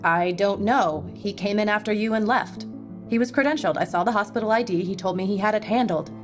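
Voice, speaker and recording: A woman's voice: mid-range voice, fairly quick, over music, lightly acted. Actress as a defensive, sardonic nurse woman. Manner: pointed, matter-of-fact. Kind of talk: horror audio drama acting.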